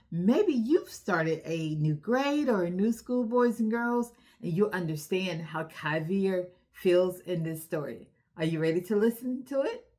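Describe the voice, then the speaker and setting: A woman hosting a children's storytime, reading aloud in an expressive way as if talking straight to young listeners. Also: mid-range voice. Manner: expressive, addressing children directly. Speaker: storytime reader and host. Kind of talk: children's read-aloud narration.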